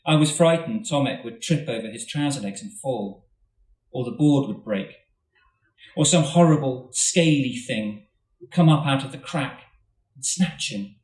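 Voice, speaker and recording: Man reading his story at a festival, steady, middle voice, echoey hall, mic a ways off. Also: mid-range voice, a little slow. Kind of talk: live short story reading. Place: literature festival event hall. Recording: roomy hall, mic at a distance.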